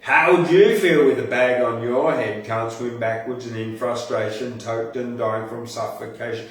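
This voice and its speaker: A man's voice: mid-range voice, fairly even intonation, normal speed, pitched below the performer's own voice, natural voice. A man voicing a sorrowful sea turtle in a storybook-style poem, making a plaintive, rhyming appeal to humankind. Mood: pleading. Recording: very echoey room, distant from mic.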